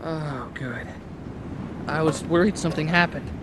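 A man's voice: on the high side, unhurried, noisy background, lightly acted. An actor playing an anxious young man on the run. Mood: wary.

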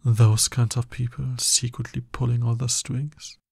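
A man playing a smug, seductive, dominant villain in an ASMR roleplay, husky and breathy, with a slow, condescending, teasing manner. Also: mid-range voice, little pitch movement, darkish tone, no echo, clean studio sound, full character voice. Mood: coaxing, knowing. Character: smug, seductive, dominant male villain courting his hero nemesis.

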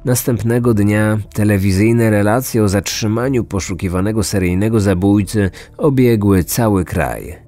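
A man narrates a true crime podcast in a lowish voice. He reads scripted documentary narration, and faint music plays behind him. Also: normal speed, few pauses, warm tone.

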